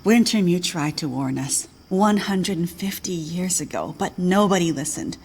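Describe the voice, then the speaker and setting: An actress playing a grave older female scientist in a video game cutscene, speaking in a measured, solemn way. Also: on the low side, a little mellow, slight background noise, lightly acted. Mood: grave, foreboding.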